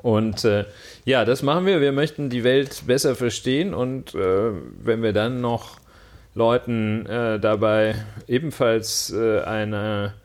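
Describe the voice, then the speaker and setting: Deadpan man co-hosting a podcast. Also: mid-range voice, slow. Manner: dry, deadpan humor. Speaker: defense lawyer, co-host. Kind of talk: casual podcast chat. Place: indoor room with the host.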